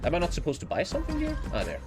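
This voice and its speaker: A man's voice: high voice, wildly swooping pitch, very fast. A man with a German accent chatting casually as a live streamer, rambling, blunt and heavily sweary. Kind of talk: casual livestream chat over gameplay. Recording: loud music behind the voice. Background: loud music.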